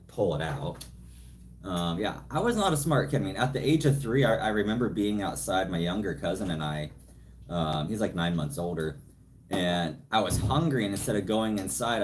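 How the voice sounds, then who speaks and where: A man's voice: deep voice, a little hiss or hum. A man from California hosting a casual live Q&A from home, rambling and self-deprecating as he reads viewer comments into a phone set a little way off. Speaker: livestream host. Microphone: phone set some distance away.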